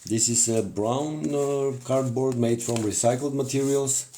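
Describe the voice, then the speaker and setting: Slow, halting Bulgarian-accented man. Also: medium pitch, steady tone, noisy background. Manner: halting, many fillers and restarts, non-native grammar. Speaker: craft livestream host. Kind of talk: casual live crafting demonstration.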